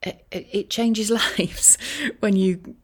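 A woman talking casually in a rambling, self-deprecating monologue, with wry, gently ironic asides. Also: mid-range voice, steady tone, slow pace. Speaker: voiceover artist and career coach sharing a personal update.

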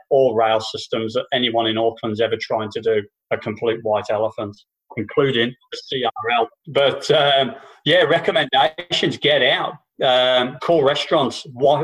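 A man in the middle of his pitch range co-hosts a podcast, speaking in a casual conversational way. He rambles through long sweary runs, stumbling over repeated false starts. He sounds like a stand-up comic chatting offhand. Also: a bit bright, low-quality recording. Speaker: podcast co-host and stand-up comedian. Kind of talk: casual political chat. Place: New Zealand.

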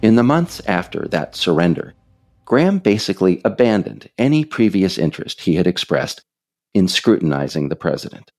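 A man reading scripted political narration as a podcast author, deliberate, with pointed pauses on key phrases. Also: low voice, sing-song, darkish tone.

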